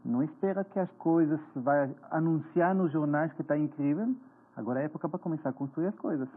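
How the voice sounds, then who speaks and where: A man in his forties from Israel with an Israeli accent, earnestly explaining as a guest in a TV interview. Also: fairly high pitch. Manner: earnest, explanatory, slightly halting. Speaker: guest, finance author. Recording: tinny phone-like broadcast sound.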